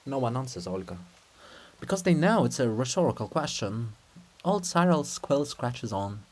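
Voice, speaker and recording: A man narrating a play as a dramatic reading, deliberate and sometimes hushed, with occasional stumbles over words. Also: medium pitch, sing-song. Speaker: narrator and reader. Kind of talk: dramatic reading of stage directions.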